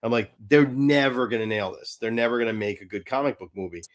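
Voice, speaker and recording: A man co-hosting a podcast in casual fan chat, halting and interrupting himself, with some mild swearing. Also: mid-range voice. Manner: halting, self-interrupting, mildly profane. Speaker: podcast co-host.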